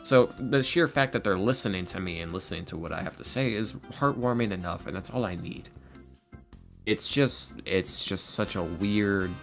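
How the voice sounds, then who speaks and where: A man's voice: lowish voice, moderate pace, gravelly, fairly bright tone, soft background music. A man speaking casually and candidly as a podcast guest, earnest, confiding and self-deprecating. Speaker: podcast guest, ASMR roleplay audio creator. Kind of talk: casual, candid interview talk.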